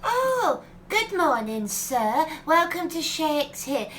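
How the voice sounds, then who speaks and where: A woman's voice: sits high, sing-song, slow, very noisy, heavily acted. A woman playing a young, awkward, unimpressed waitress in a family comedy radio play, hesitant and offhand. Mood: awkwardly polite.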